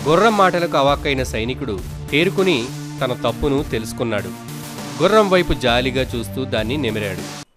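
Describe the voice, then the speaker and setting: Animated male storyteller over soft music. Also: medium pitch. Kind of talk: scripted narration of children's moral stories.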